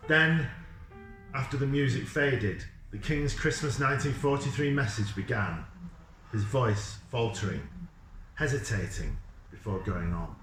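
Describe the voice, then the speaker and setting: A man reads a children's story in measured, dramatic short phrases. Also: medium pitch, a bit bright, soft background music. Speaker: author reading from a children's novel. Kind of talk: storybook narration. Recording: echoey room sound.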